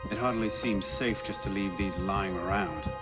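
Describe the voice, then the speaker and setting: A vengeful young male assassin, voiced by a male actor with a lowish voice, speaking in terse, wry, commanding lines. It is video game drama with loud music behind him. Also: normal speed, close to an everyday voice. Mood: low, brooding.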